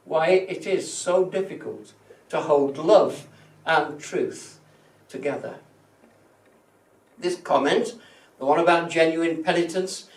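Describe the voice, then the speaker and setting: A male church minister gives a thoughtful sermon in a fairly high voice. He speaks deliberately, leaning on key words and letting phrase endings drop away softly. The sound is very echoey and somewhat distant from the microphone. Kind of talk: reflective sermon from prepared notes.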